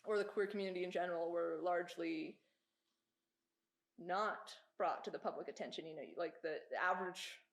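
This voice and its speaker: A woman's voice: lowish voice, steady tone, fairly quick, vocal fry. A woman talking casually and reflectively as a panelist in a group discussion. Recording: echoey room sound.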